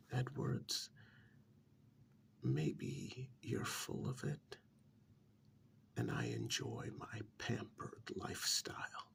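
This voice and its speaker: Male actor as pampered dystopian captive, ASMR role-play, half-whispered, very creaky, unhurried, sardonic, condescending, quietly menacing. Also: lowish voice, exaggerated voice. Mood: mocking. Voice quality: breathy, near-whispered. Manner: taunting, condescending, deliberate pauses.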